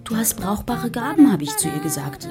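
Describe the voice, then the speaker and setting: An actress playing a middle-aged working-class mother, gravelly, nagging and disappointed, in a radio play. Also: mid-range voice, very animated, a little fast, loud music behind the voice. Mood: bitter, resigned. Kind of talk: radio drama acting.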